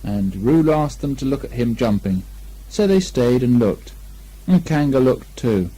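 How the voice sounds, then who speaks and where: Mellow man narrating a children's story. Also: mid-range voice, expressive, unhurried, slightly rough. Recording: lo-fi amateur 1980 speech recording.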